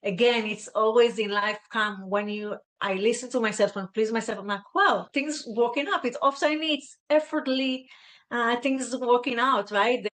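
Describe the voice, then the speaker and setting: Israeli woman, earnest and halting. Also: a little high, fairly bright tone. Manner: earnest, halting, frequent "right?" tags. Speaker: guest, fashion stylist. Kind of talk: casual interview chat.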